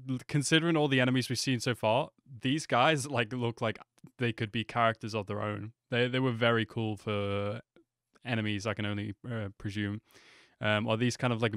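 Young man, reaction host, clear resonant voice, dry close mic, informal, enthusiastic. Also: mid-range voice, no echo. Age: 20s. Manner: slangy, enthusiastic. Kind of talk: casual reaction commentary. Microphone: close desk microphone, headphones on.

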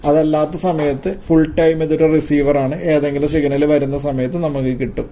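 A man giving an informal hands-on gadget demonstration, haltingly and repeating his phrases. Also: on the high side, flat delivery, warm tone, a little hiss or hum. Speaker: tech video presenter.

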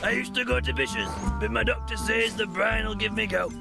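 An actor playing a cheeky, unhealthy smoker in a cartoon game, with gravelly sing-song patter over music. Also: male voice, sits high, a little fast.

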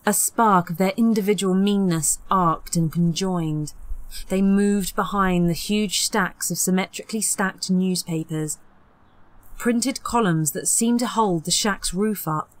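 A woman's voice: mid-range voice, a little slow, dry recording. A woman narrating a horror audiobook in a scripted storytelling style.

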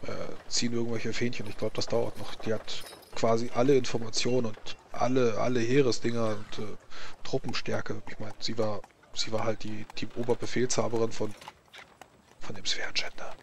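A man's voice: fairly low pitch, flat delivery, moderate pace, vocal fry, a little hiss or hum. A man playing a flustered, officious scribe in a tabletop game, talking hesitantly and repeating himself. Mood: confiding, rambling. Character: flustered, officious male chancellery scribe, secretive and chatty.

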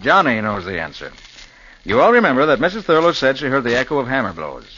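A man's voice: medium pitch, very animated, sharp tone. An actor playing a confident, clever male detective explaining a case in a 1940s radio drama. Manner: brisk, explanatory. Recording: dull old radio recording with faint hiss.

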